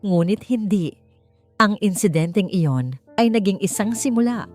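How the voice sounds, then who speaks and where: A woman's voice: mid-range voice, expressive, unhurried. A woman with a Filipino accent narrating a scripted dramatic romance story, as a storyteller. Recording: music under the voice. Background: background music.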